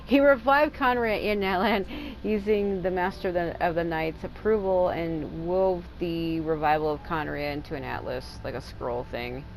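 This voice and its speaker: A woman's voice: fairly low pitch, fairly even intonation, moderate pace, hardly any pauses, slight background noise, low-quality recording. A woman giving casual game commentary as a streamer, informal and blunt, with swearing. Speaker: gaming streamer. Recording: game music behind voice.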